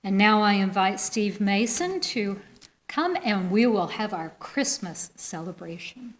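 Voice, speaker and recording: Slow-paced woman leading worship. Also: mid-range voice, animated, a little hiss or hum. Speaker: worship leader. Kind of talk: responsive reading in a church service.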